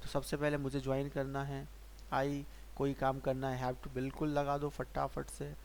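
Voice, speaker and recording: A man teaching English grammar in Hindi, patiently asking and answering his own questions and slowing down to spell out example sentences. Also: medium pitch, monotone. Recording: faint hiss.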